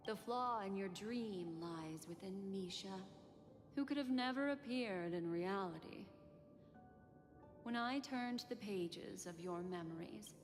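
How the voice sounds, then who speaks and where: A woman voice actor playing a poised, mysterious memory keeper in a video game, calm and courteous. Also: mid-range voice, slow, close to an everyday voice. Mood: composed, explanatory. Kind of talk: scripted video game voice acting. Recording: reverberant game audio over music.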